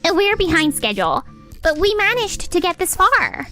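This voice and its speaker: Brash young heiress for manga dub, woman actor: high, swooping delivery, smug and theatrical. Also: big pitch swings, normal speed, sharp tone, faint music behind, heavily acted. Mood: self-assured. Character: haughty young company heiress, sharp and competitive. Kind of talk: anime-style manga dub acting.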